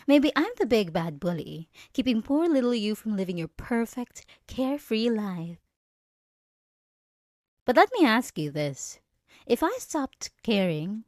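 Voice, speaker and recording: A woman's voice: medium pitch, darkish tone, dry recording, studio quality. A young woman playing a jealous, condescending yandere student bully in an ASMR roleplay, speaking straight to the listener with sarcastic, taunting emphasis.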